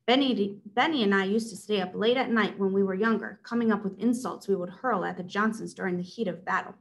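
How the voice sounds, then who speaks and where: A woman's voice: medium pitch, fairly quick, rough recording. A woman reading a children's story aloud as its narrator. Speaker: read-aloud narrator at a school.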